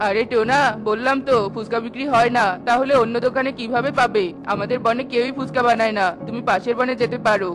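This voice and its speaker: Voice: mid-range voice, steady tone, fast, hardly any pauses, lightly acted. A performer voicing a talkative, helpful male myna shopkeeper in a cartoon, with faint music behind. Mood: helpful, explanatory.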